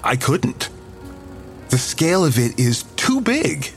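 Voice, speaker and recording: An actor playing an affectionate young man, a boyfriend with a casual, gently teasing manner, in an audio drama. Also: fairly high pitch, sing-song, slow, faint music behind, heavily acted. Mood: casual, proud. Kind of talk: audio drama dialogue, naturalistic.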